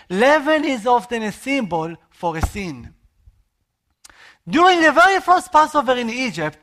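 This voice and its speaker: A man from Israel with an Israeli accent, a missionary preaching a sermon in an earnest, expressive way. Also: very high voice.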